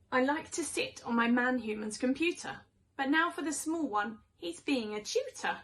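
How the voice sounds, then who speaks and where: Woman voicing a chatty, high-voiced storybook cat. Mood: chatty, self-satisfied. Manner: conversational, expressive rhyming delivery. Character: chatty, opinionated house cats gossiping about their humans.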